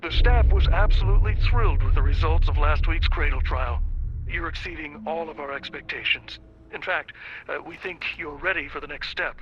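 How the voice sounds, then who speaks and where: A man's voice: fairly high pitch, moderate pace, noisy background, lightly acted. Man playing a muffled, nervously polite scientist. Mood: flattering. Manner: hesitant, officially reassuring. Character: apologetic, nervously polite male research scientist. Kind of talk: video game voice acting.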